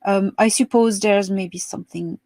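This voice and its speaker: A woman from France with a French accent, giving a hesitant, soft-spoken account as a guest on a video call. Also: medium pitch, fairly even intonation, normal speed, gravelly, dry recording. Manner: soft-spoken, hesitant with many ums, laughs easily. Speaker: interview guest. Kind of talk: remote interview, storytelling.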